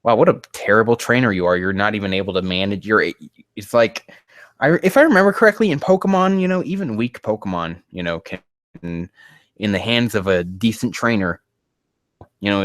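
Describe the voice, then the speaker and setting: A man's voice: lowish voice, a bit bright, no echo. Exasperated man riffing on amateur writing. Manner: exasperated, sweary, rambling. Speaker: host reading and critiquing amateur fan fiction. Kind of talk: casual read-aloud with mocking commentary.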